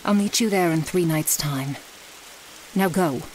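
A woman's voice: low voice, a little mellow, slight background noise, rough recording. A woman acting an elegant, menacing vampire mistress in an audio roleplay, speaking theatrically with touches of French. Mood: urgent. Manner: slow, theatrical, sprinkles French phrases.

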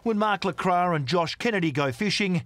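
A man with an Australian accent reading a scripted news voice-over in a crisp, deliberate way. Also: high voice, animated, a bit bright. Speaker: reporter.

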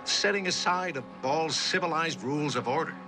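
An actor playing a cold, philosophical man in a mid-century suspense drama, arguing in a measured way. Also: fairly high pitch, over music, natural voice, poor audio. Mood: cool, deliberate.

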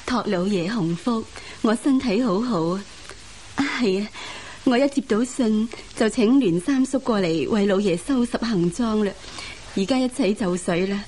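A woman's voice: medium pitch, normal speed, darkish tone, close to an everyday voice, rough recording. A woman acting a courteous, dignified official's wife in a Cantonese radio drama, formal and composed, with a Hong Kong accent. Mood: attentive, polite. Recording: hissy radio drama rebroadcast.

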